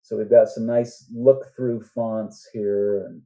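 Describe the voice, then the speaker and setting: An older man speaking earnestly and deliberately as a novelist guest in a reflective podcast interview. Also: lowish voice, flat delivery, creaky, warm tone. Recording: dull, muffled lo-fi sound.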